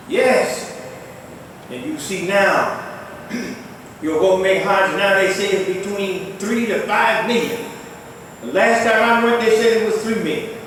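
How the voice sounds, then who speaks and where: Older imam preaching in an echoing hall. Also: male voice, a bit bright. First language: not Arabic. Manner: emphatic preaching with rising exclamations. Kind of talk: Friday sermon. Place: large mosque prayer hall. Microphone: distant mic in a mosque. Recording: echoey hall, far from the mic, faint rumble.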